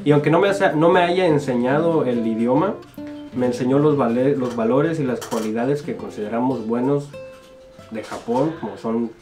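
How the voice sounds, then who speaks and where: A man's voice: mid-range voice, normal speed, over music. A young Mexican man in his twenties, casually chatting and joking as he answers viewer comments in a small home studio. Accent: Mexican Spanish. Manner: jokey, laughs often, informal. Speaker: guest youtuber. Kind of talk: casual chat reading comments.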